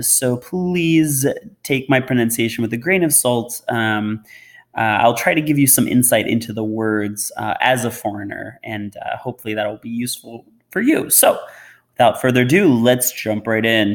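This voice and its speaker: A man who is a native English speaker gives an informal, unscripted pronunciation lesson, repeating words and adding explanatory asides. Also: medium pitch. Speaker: language teacher.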